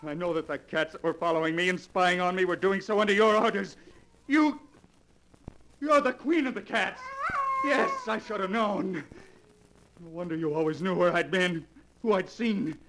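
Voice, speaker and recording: A haunted, desperate man in a 1940s radio thriller. His theatrical delivery is intense and brooding, on a clearly noisy old broadcast recording. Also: sits high, animated, moderate pace, a bit bright. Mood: frantic, enraged. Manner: theatrical, brooding narration rising to frantic outbursts. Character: haunted, desperate fiance confessing to his doctor. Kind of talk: 1940s radio melodrama acting.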